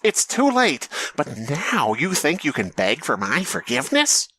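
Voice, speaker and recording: A man's voice: a little high, wildly swooping pitch, a little slow, pushed and bright, husky, pitched above the performer's own voice, full character voice. A man voicing a deranged teenage boy killer who gloats and pities himself. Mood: gloating. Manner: gloating, theatrical.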